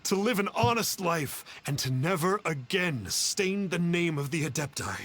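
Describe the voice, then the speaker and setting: A man's voice: fairly high pitch, sing-song, moderate pace, a bit bright, heavily acted. A man playing a pompous, cowardly conman in a video game, with theatrical, old-fashioned phrasing. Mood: grovelling gratitude. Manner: pompous, theatrical, archaic speech. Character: pompous, cowardly male conman posing as an immortal, grovelling. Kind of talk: video game character dialogue. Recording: game music behind the voice.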